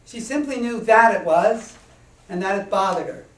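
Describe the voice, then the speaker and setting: A man tells a whimsical children's story on a small stage. The delivery is deliberate and rhythmic, with the sound echoey and somewhat distant from the microphone. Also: high voice, fairly bright tone. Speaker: author reading own story. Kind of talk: children's storytelling to a live audience. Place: small indoor stage before an audience.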